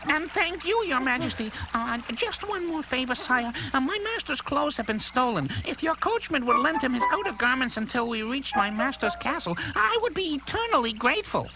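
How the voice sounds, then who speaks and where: A woman voicing a clever, confident talking male cat with a brisk, courtly, persuasive manner in a dubbed cartoon fairy tale. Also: sits high, very animated, rough recording. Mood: courtly, polite.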